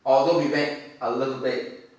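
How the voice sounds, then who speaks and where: A man's voice: medium pitch, little pitch movement, moderate pace, a little mellow. A man hosting a talk show in a conversational style, emphatic and given to repeating his phrases. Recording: very echoey room, far from mic.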